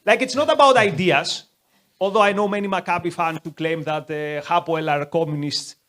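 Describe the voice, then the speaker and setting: A man's voice: a little high, normal speed, fairly bright tone. A man leading a conversational lecture, taking questions from the audience in a museum hall. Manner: conversational, uses many "like" asides.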